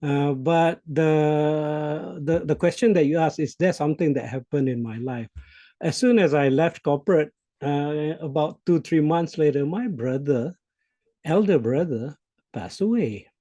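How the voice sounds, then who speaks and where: Mellow-voiced Malaysian man in his sixties. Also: on the high side, measured pace, no room sound. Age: 60s. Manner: reflective, with frequent hesitations and soft laughs. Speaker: CEO coach guest. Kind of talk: interview conversation.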